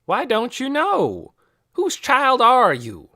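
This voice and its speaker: A man's voice: extremely high pitch, wildly swooping pitch, slow, bright, forceful tone, voice pushed up, full character voice. A man voicing a stern, suspicious gray-haired woman who speaks in an accusing, emphatic way in a storybook reading. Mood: accusing, indignant.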